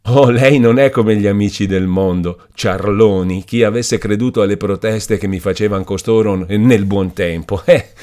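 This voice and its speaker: A man's voice: on the low side, fairly even intonation, moderate pace, hardly any pauses, dark tone, pitched above the performer's own voice, close to an everyday voice. A man reading an audiobook voices an impulsive, agitated young working man who speaks emotionally and stumbles over his words. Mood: excited. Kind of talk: audiobook character voice.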